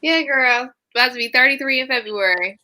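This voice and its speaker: Very bright, high-voiced woman in her thirties. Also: brisk. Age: early 30s. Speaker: podcast panelist. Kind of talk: casual group conversation.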